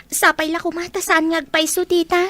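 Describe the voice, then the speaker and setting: A woman's voice: very high voice, little pitch movement, a little fast, bright, forceful tone. A woman playing a concerned, caring young female student in an audio drama, with an Ilocano Filipino accent. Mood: worried.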